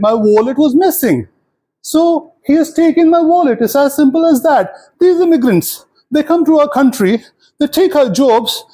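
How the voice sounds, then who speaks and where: A man's voice: very high-pitched, dark tone, voice pushed up. A man voicing a fussy, rambling old lady, indignant and chatty, as a character in a told story.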